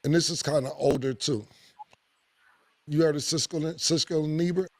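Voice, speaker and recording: New podcast host guy, deep gravelly voice, blunt and loud about it, dry close mic. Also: normal speed, no echo. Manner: blunt, animated, swears freely. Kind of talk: casual opinionated podcast talk.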